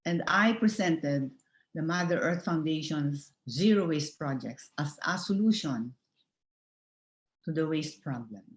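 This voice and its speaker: A woman speaks in a noticeably echoey room. She presents formally, in measured, deliberate phrases, as a speaker from an environmental organization. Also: sits low, lively intonation. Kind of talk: slide presentation at a conference.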